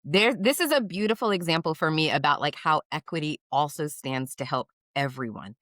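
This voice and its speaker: A woman's voice: sits low, animated, fairly quick, fairly bright tone, no echo, studio quality. A woman hosting an interview podcast, affirming and curious as she asks her guest questions. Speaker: podcast host. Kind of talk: interview host with a read ad.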